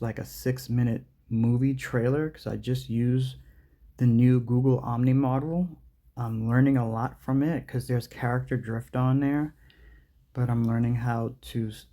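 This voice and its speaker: A man with a creaky, gravelly voice and a dark, relaxed tone. His pitch sits in the middle as he chats informally to viewers as the presenter of a demo. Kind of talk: casual vlog talk to viewers.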